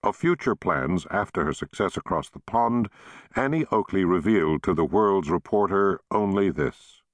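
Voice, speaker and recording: Male audiobook narrator, very deep voice, lively varied pitch, measured and expressive delivery, dry studio sound. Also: no echo. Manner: measured, expressive storytelling reading.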